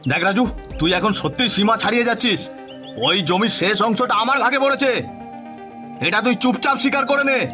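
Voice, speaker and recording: A man's voice: high-pitched, moderate pace, long pauses, bright, forceful tone, faint music behind. A man playing a stubborn village farmer in a cartoon, indignant and insistently argumentative.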